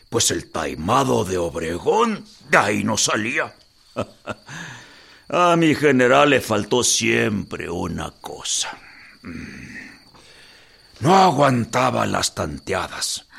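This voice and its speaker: An older man acting a very old, folksy Mexican grandfather, a war veteran telling his memories in a radio drama, with a gravelly, husky voice. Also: medium pitch, pushed and bright, heavily acted. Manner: folksy, slow storytelling.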